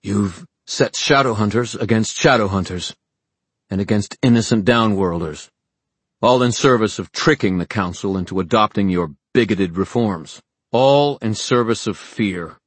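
A man's voice: on the low side, a little slow, husky, no echo, pitched above the performer's own voice. Man as a righteous, accusing young warrior. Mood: defiant accusation. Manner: accusing, emphatic. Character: bold, righteous young male warrior accusing his enemy.

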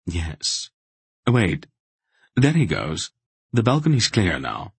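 Man playing a sly, watchful little man, hushed. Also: deep voice, very animated, slow, gravelly, breathy edge, no echo, heavily acted. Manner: hushed, clipped.

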